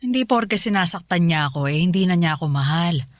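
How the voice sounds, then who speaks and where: A woman's voice: fairly low pitch, lively intonation, fairly quick, dry recording, lightly acted. An actress playing a long-suffering, forgiving Filipino wife and mother in a radio drama, pleading and placating. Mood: gentle, reassuring.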